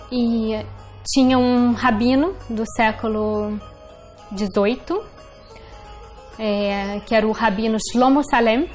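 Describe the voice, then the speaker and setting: A woman with a Brazilian accent telling personal family history in a documentary interview. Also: fairly high pitch. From born in Portugal to Brazilian parents.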